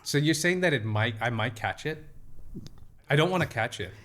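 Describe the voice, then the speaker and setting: A man's voice: medium pitch, expressive, moderate pace, fairly bright tone. A man hosting a podcast in casual chat, teasing and probing with questions and swearing freely. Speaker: podcast host.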